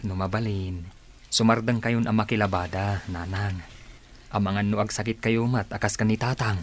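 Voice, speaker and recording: A devoted, generous grown son in a radio drama, played by a man, low in pitch over faint ambient noise. He speaks respectfully and with solicitude. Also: fairly even intonation, moderate pace, natural voice. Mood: concerned, caring. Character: caring, generous grown son looking after aged parents. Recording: clear background ambience noise.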